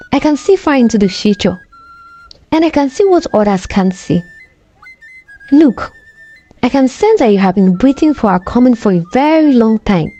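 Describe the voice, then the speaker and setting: A woman's voice: a little high, dark tone. A woman voicing a bold, insistent young seer who bargains with spirits in a folktale.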